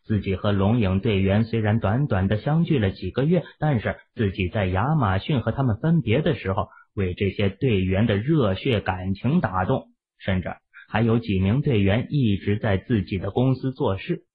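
A man's voice: lowish voice, normal speed, husky. A man narrating a novel expressively as an audiobook reader. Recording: muffled, phone-like narrow sound.

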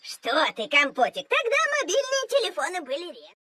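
A woman's voice: very high-pitched, huge pitch swings, fairly quick, background noise, heavily acted. An actress playing a kindly, affectionate old grandmother cat in a children's cartoon, with a tender, patient manner. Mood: warm, nostalgic. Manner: tender, doting, storytelling. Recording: music under the voice.

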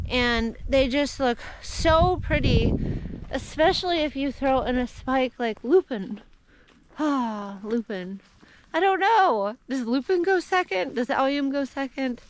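A woman in her forties talks slowly and unhurriedly, her voice sitting a little high. She chats in a loose, indecisive way, filling her talk with "like" while she walks her farm outdoors. Wind noise from outside comes through clearly behind her. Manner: chatty, indecisive, often laughs and says "like". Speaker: flower farmer. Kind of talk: casual walking vlog. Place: outdoors on a rural farm in winter. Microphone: handheld wide-angle camera at arm's length.

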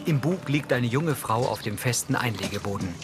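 A man reading scripted audio description in a neutral, factual, measured way. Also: mid-range voice, animated.

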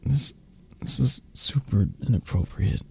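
Man acting a gravelly, grumpy CEO boss. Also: mid-range voice, measured pace, darkish tone, in a whisper, full character voice. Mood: embarrassed, defensive. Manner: curt, sardonic, stammering when flustered. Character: arrogant, grumpy male CEO, secretly anxious and vulnerable. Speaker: voice actor. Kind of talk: ASMR roleplay audio drama.

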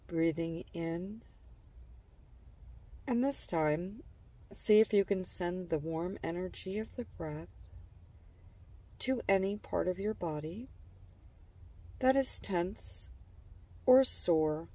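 A woman narrating a guided imagery relaxation in a calm, gentle, soothing manner, letting phrase endings trail softly. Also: on the low side, recorded from a distance.

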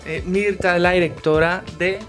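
A young man hosting an interview in a living room, asking slow questions full of restarts. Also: sits high, fairly even intonation, music in the background. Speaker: host.

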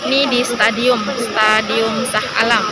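A woman's voice: on the high side, flat delivery, a little slow, heavy background noise. A young woman chatting casually to her viewers on a phone held at arm's length. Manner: chatty, addresses viewers as guys. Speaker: vlogger. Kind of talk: casual vlog narration. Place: crowded indoor stadium.

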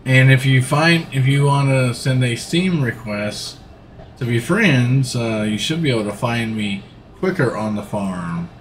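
Slow, easygoing man streaming. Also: medium pitch, animated, a little hiss or hum. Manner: easygoing, rambling, talks while playing. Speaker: livestreamer. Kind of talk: casual gaming livestream commentary. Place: home room, seated in a gaming seat.

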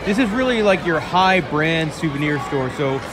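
A man's voice: on the high side, expressive, moderate pace. A man giving an informal, enthusiastic walk-and-talk vlog narration, casually peppering his sentences with "like.". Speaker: vlog host reviewing a ballpark. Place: busy baseball stadium concourses and shops. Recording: loud crowd and stadium noise. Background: loud crowd chatter and venue noise.

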